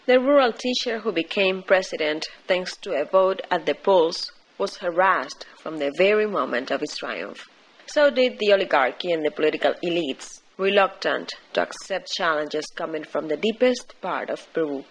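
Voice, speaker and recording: A woman reading a scripted news commentary in a formal, deliberate way. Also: lowish voice, lively intonation, low-quality recording. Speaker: news reader.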